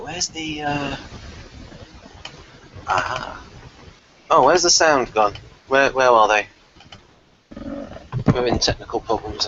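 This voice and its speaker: Guy hosting a casual panel chat, sharp bright voice, talks with emphasis, kinda dull lofi sound. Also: medium pitch, slight background noise. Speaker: host of a TV show review discussion.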